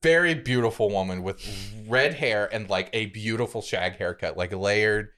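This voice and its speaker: A man's voice: fairly low pitch, moderate pace. A young man co-hosting a podcast, chatting and telling stories in an informal, emphatic way full of "like". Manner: animated, informal, emphatic, peppered with "like". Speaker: podcast co-host. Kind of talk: casual chat and storytelling.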